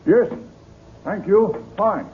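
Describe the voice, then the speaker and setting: An actor in an old-time radio drama playing an earnest, courteous, formal book publisher. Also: male voice, sits high, lively intonation, extremely slow, a little hiss or hum, heavily acted. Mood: polite, hesitant. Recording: tinny, muffled old radio recording.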